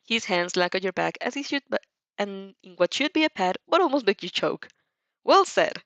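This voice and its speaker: A woman reading fan fiction aloud as an expressive narrator who also does character voices. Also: medium pitch, a little fast, dry recording, lo-fi sound. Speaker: fan fiction audio reader.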